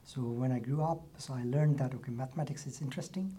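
A man from Nepal, a university lecturer answering interview questions in a soft-spoken, hesitant way with restarts. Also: mid-range voice, quick pace, slight background noise.

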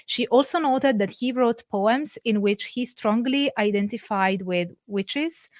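A woman talks through scholarly sources in a careful, measured lecture. Her voice sits in a middling range, neither high nor low. The sound is dry, with almost no room around it. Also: no echo. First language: Italian. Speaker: presenter. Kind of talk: academic lecture.

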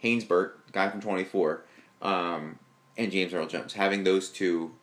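A man co-hosting a podcast, chatting casually and enthusiastically about films, with a deep voice and lots of fillers. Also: fairly even intonation, unhurried, fairly bright tone. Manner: rambling, enthusiastic fan talk with fillers. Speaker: podcast co-host. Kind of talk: casual chat.

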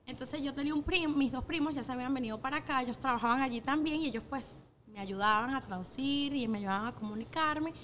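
A woman's voice: high voice, fast, a little hiss or hum. A woman from Caracas with a Venezuelan accent, telling stories casually and candidly as an interview guest, using plenty of slang. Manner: candid, animated slangy storytelling. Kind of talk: casual conversational storytelling.

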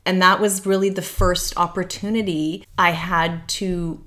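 Reflective woman with a lowish voice. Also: level tone, a little slow, a bit bright. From Canada. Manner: reflective, detailed storyteller. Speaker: guest. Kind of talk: casual conversation, personal storytelling.

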